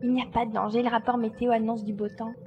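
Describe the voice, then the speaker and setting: A woman's voice: on the high side, flat delivery, fast talker, highs cut off, background noise, lightly acted. A woman playing a determined, commanding glider pilot in a French audio drama. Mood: purposeful. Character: determined female glider pilot searching for her babies.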